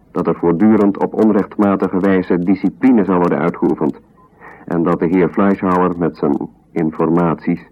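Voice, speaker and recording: An actor playing an impersonal bureaucrat in a radio drama, reading out an official ruling in a formal, measured, detached way. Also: male voice, low-pitched. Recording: dull 1970s radio recording.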